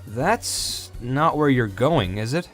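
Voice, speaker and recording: A man's voice: medium pitch, lively intonation, takes it slowly, soft background music. A man playing a friendly, concerned late-night diner server in a visual novel, casual and helpful. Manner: casual, helpful, gently cautioning.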